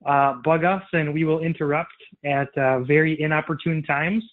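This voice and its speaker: A man's voice: a little high, fairly even intonation, normal speed. A man co-hosting an online show, speaking in a light, joking way. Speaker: co-host. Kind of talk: casual chat. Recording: tinny phone-like call audio.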